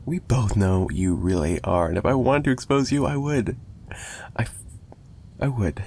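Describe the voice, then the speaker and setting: A man's voice: medium pitch, a little slow, a little mellow, natural voice. A man playing a possessive, menacing mafia boss in an ASMR roleplay, taunting the listener with theatrical mock sweetness and threats. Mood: taunting, irritated. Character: possessive, menacing young male mafia boss, obsessive kidnapper. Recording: noticeable background noise.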